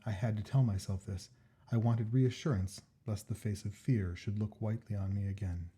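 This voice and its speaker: Husky, mellow male story reader. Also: lowish voice. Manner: measured, expressive, deliberate phrasing. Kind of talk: scripted narration of a classic ghost story.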